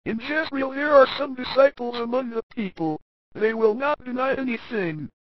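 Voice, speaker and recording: A man voicing an eager, reassuring helper, speaking softly. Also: very high voice, normal speed, no room sound, voice pushed up. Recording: dull lo-fi dry recording.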